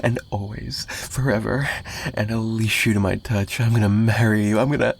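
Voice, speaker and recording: A man's voice: fairly low pitch, steady tone, moderate pace, creaky, a little hiss or hum. A man playing an obsessive, menacing mafia kidnapper in an ASMR roleplay, possessive and teasing, with a taunting delivery that swings between murmurs and giggles. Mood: gleeful, possessive. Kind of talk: dramatic ASMR roleplay monologue.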